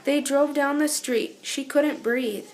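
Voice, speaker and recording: A woman's voice: high-pitched, fairly even intonation, slow pace. A woman narrating a children's storybook as a read-aloud. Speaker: storybook reader.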